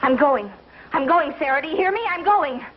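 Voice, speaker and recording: High-voiced, emotional young pioneer wife. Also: female voice, animated, brisk, slight background noise. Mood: defiant, angry. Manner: emotional, theatrical delivery. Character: headstrong, homesick young pioneer wife, softening into tenderness. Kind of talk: 1950s television western acting. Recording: muffled 1950s TV soundtrack with music.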